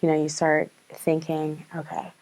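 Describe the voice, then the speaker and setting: A woman's voice: low voice, monotone, a little slow, darkish tone, poor audio. A woman in charge of others, talking reflectively in an interview. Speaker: leader.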